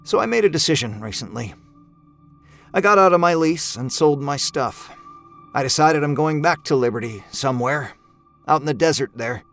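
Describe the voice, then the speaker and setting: Male horror narrator, measured and brooding, over faint music. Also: fairly high pitch, animated, a bit bright. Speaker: story narrator. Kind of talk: first-person horror story narration.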